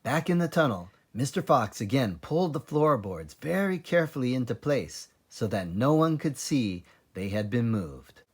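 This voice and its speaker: A man reading a children's book aloud as narrator, in an expressive, dramatic and deliberate style. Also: medium pitch, husky. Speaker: children's story reader, librarian.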